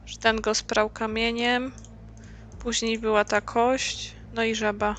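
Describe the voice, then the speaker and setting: A woman's voice: fairly high pitch, level tone, unhurried, fairly bright tone, slight background noise. A woman doing casual let's-play commentary, muttering to herself as she plays. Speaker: game streamer.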